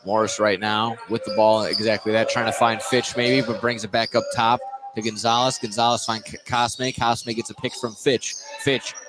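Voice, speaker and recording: A man's voice: on the low side, level tone, moderate pace, noisy background. A man doing live play-by-play basketball commentary for radio, often restarting and repeating phrases as he goes. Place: indoor basketball gym.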